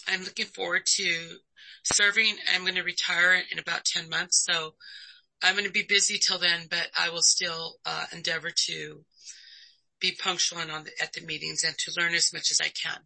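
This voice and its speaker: Older woman, flat and gravelly. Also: fairly low pitch, normal speed, low-quality recording. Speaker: board candidate. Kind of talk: public meeting self-introduction.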